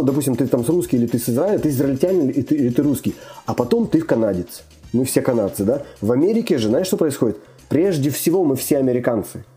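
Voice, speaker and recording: Fast-talking man with a dark voice. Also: mid-range voice. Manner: rambling, many fillers and restarts. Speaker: immigrant guest. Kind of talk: casual conversation.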